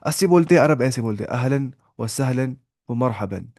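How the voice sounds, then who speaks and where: A man of middle pitch teaching a class over a video call. He speaks patiently and repeats things, slowing down to sound out each example phrase. The sound is dry and close, with no room echo. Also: lively intonation, a little mellow. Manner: patient, repetitive explaining. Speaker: Arabic teacher. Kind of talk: online class lecture.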